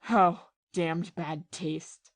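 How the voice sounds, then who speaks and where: A woman playing a bitter, lovesick, ruined ex-army captain in a period audio play, confessing passionately and haltingly. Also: on the low side, fairly even intonation, dry recording, studio quality. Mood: unsettled. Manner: confessional, impassioned, halting with repeated phrases.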